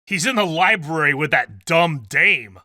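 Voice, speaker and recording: A man's voice: fairly high pitch, animated, a little slow, sharp tone, no echo, studio quality, full character voice. A man playing a dim, whiny gangster henchman with slangy, ungrammatical speech in a comic noir radio drama. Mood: defensive.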